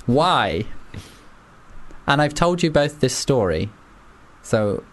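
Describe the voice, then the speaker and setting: A man's voice: mid-range voice, very animated, takes it slowly. A young man hosting a podcast quiz, playful and teasing, laughing often. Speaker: podcast host. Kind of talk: casual chat, quiz game.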